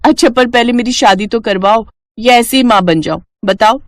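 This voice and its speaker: A woman's voice: fairly high pitch, moderate pace, dry recording, pitched above the performer's own voice, natural voice. A woman voicing a playful young Indian daughter who teases and pleads with her parents in a story. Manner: pert, pleading, teasing. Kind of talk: animated Hindi moral story dialogue.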